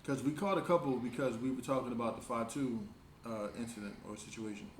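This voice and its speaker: A man's voice: medium pitch, fairly even intonation, fast, reverberant, slight background noise. A man co-hosting a reaction show, talking in a laid-back, questioning way with hesitant fillers. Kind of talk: casual group chat reacting to a music video.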